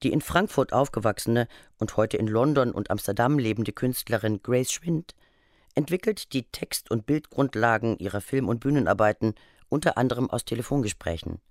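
A man's voice: medium pitch, moderate pace, no echo. A man reading scripted documentary narration for a radio feature, close on a studio microphone. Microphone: close studio microphone, dry sound.

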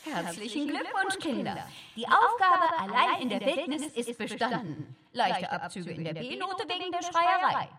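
A woman's voice: high-pitched, big pitch swings, unhurried, sharp tone, exaggerated voice. An actress playing an official woman announcer who grades children, in a radio play, echoing and distant. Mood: congratulatory.

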